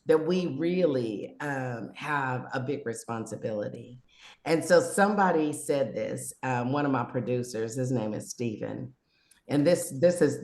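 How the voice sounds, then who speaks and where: Here is a woman in her sixties hosting a video call. She sounds exuberant and sermon-like, laughing as she goes and swinging her pitch high and low. Her voice carries a roomy echo. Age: 60s. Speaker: network host. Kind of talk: casual video-call panel chat.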